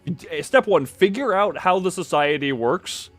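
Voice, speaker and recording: A man's voice: high voice, animated, unhurried, a bit bright. Rambling man streaming over game music. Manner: rambling, thinks aloud, stammers on word starts. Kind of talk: casual live-stream commentary, reading game text aloud.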